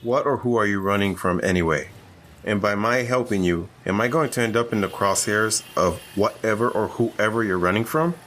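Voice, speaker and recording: A man's voice: fairly low pitch, normal speed, a bit bright, slight background noise, lightly acted. A man playing a grudging, irritable secret agent in an audio drama, dry and exasperated with his ex-lover. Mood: dismissive.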